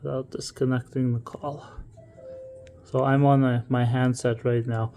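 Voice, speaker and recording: A man's voice: medium pitch, level tone, unhurried, mellow, soft background music. A man instructing in an informal, step-by-step way, as in a casual hands-on tutorial. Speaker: instructor demonstrating equipment.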